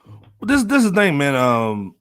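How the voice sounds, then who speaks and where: A man's voice: a little high, wildly swooping pitch, normal speed, fairly bright tone, smooth, no echo, clean studio sound. A man co-hosting a hip hop podcast, talking casually in slang and often repeating his phrases. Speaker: podcast co-host.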